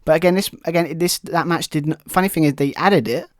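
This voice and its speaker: A man's voice: a little high, brisk, dry recording. Chatty British man, dry close sound. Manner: rambling, self-correcting, opinionated. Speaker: wrestling podcast co-host. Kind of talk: casual chat.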